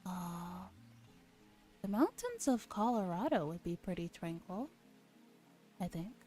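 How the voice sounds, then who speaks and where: A woman with a mellow, relaxed voice streams a visual novel as ASMR. She reads dialogue softly and drops into casual commentary. Quiet music plays behind her. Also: fairly low pitch, slow pace.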